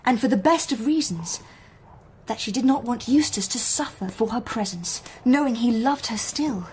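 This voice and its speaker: A woman's voice: a little high, animated, moderate pace, a little mellow, a little hiss or hum, natural voice. An actress playing a determined young married lady detective in a radio drama, earnest and articulate, with a British accent. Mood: earnest, questioning.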